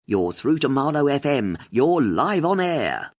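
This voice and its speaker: A man reading aloud, voicing a brisk, upbeat woman who presents a local radio show. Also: medium pitch, sing-song, darkish tone. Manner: upbeat radio personality, breezy.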